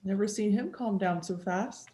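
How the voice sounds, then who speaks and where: A woman's voice: mid-range voice, normal speed, warm tone, slight background noise, lightly acted. A woman playing a wry, teasing childcare coworker with a dry manner in a dramatic script reading. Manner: dry, sardonic.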